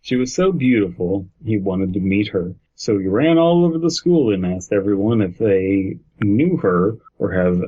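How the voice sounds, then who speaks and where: A man's voice: lowish voice, lively intonation, normal speed, hardly any pauses, husky, phone-quality sound. A man co-hosting a comedy podcast, reading a story and joking casually with friends. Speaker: podcast co-host.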